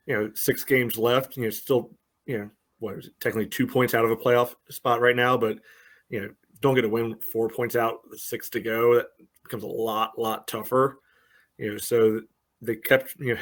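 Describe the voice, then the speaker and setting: A man's voice: mid-range voice, fairly bright tone. A man giving casual match analysis as a podcast co-host, matter-of-fact and often padding his sentences with "you know".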